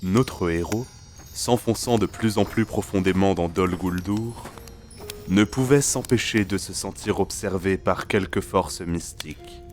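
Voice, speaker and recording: Male storyteller, very low register, literary scripted narration for fantasy audio drama, light music bed. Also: unhurried.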